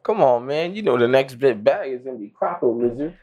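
A man co-hosting a podcast, chatting casually, excitable and slangy, jumping in with reactions. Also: medium pitch, brisk, dull audio, noisy background. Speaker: podcast co-host. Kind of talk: casual chat.

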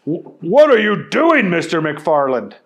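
A man's voice: fairly high pitch, big pitch swings, a little slow. A man voicing a fussy, exasperated male cooking show host in a storybook. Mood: surprised.

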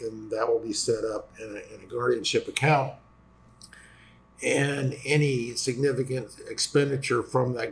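A man with a raspy voice, a lawyer hosting a casual explanatory talk, halting with fillers and restarts as he loses his voice. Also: medium pitch. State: losing voice after vocal cord injections. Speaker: personal injury lawyer and host.

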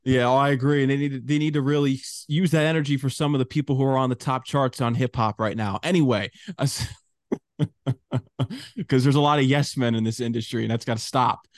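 A male host keeps an interview moving with friendly, agreeable questions. His voice sits in a middle pitch, and the recording is dry and close. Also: steady tone, brisk, dry recording. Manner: agreeable, conversational. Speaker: radio show host.

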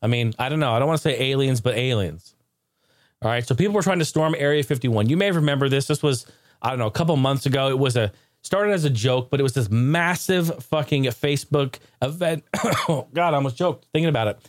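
A man hosting a podcast in casual comedic chat, talking in a jokey, excitable, informal way. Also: medium pitch, a little fast, no echo, studio quality. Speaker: podcast host.

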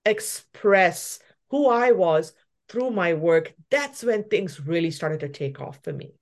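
Guest: middle-aged woman from Malaysia, pastry chef, candid and reflective, quick to laugh. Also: lowish voice, animated, a little mellow. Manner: reflective, laughs often while telling her story. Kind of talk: casual interview conversation.

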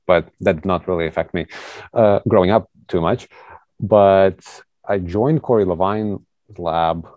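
A man's voice: low-pitched, darkish tone, no echo. A young man from Ukraine, a research trainee speaking conversationally as an interview guest, not an English speaker. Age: young adult. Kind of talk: casual conversational interview answer.

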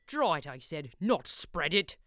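Woman playing a loud gruff old blacksmith. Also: deep voice, very animated, measured pace, dry recording, full character voice. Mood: scolding. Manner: loud, barking, rustic old-fashioned dialect. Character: gruff older male blacksmith, brusque.

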